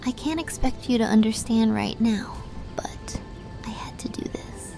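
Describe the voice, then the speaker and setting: A woman's voice: a little high, unhurried, vocal fry, music in the background. A woman playing a tender, resigned young witch princess in an audio drama, pleading in half-whispers. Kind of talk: dramatic audio fiction acting.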